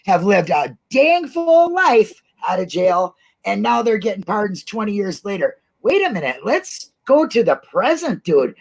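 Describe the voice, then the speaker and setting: A woman's voice: medium pitch, breathy edge. Outspoken, emotional woman hosting a livestream. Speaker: host of an online livestream show. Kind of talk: casual, informal discussion.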